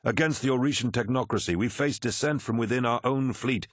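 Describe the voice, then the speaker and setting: A man's voice: medium pitch, brisk, no echo, pitched above the performer's own voice, natural voice. Man acting a commanding, wrathful warlord, dry sound. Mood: commanding, proud. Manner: forceful, imperious, snapping and roaring. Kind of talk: dramatic audiobook character acting.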